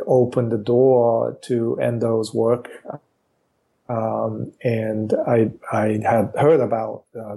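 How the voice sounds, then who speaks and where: A man whose first language is Japanese, an author guest in a podcast interview, speaking haltingly with many fillers and restarts. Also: lowish voice, creaky, dark tone. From born in the US, raised partly in Japan.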